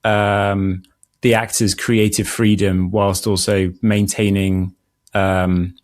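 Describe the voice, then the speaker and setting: A man with a lowish voice hosts an interview. He builds his questions haltingly, rewording them midway. The recording is dry and free of room sound. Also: level tone, dry recording. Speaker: guest host, interviewer.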